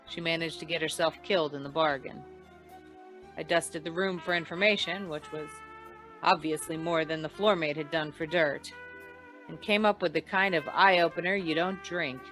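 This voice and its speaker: A woman's voice: lowish voice, a bit bright, close to an everyday voice. A woman playing a hard-boiled, wisecracking insurance investigator in an old-time radio noir, with a dry, clipped delivery.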